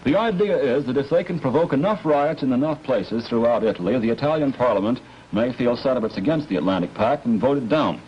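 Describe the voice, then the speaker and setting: A man's voice: mid-range voice, expressive, a little fast, a little hiss or hum. Dull vintage broadcast, male news commentator. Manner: conversational asides while reporting. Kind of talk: informal live newsreel commentary.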